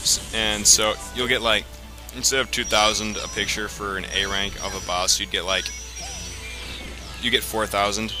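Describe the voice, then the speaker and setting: Low-pitched man explaining a video game. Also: little pitch movement, normal speed. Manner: rambling, explanatory, frequent profanity. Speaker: let's play host. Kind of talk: casual video game commentary. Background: video game music and sound.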